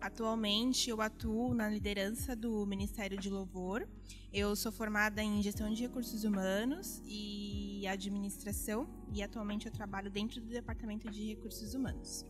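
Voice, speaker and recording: A composed young woman in her twenties briskly introduces herself. A long reverb follows her voice, and instrumental music is clearly audible behind her. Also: medium pitch, level tone. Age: 20s. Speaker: guest. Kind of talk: self-introduction.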